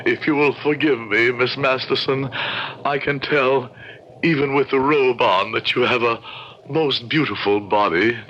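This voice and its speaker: An actor playing an earnest sculptor in an old-time radio drama, courteous and halting, pleading with an unsettling fixation. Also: male voice, mid-range voice, a bit bright. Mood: courteous, insistent. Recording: muffled, noisy old radio recording.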